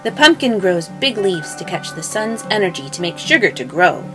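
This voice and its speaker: A woman's voice: lowish voice, sing-song, moderate pace, music in the background. A woman narrating a children's puppet show, explaining things step by step.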